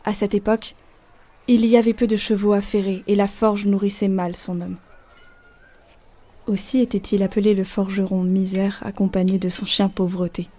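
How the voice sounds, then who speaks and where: Mellow, slightly husky woman storyteller. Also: mid-range voice, little pitch movement, moderate pace. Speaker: narrator. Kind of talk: scripted storytelling narration of a folk tale. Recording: faint music behind. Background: faint music.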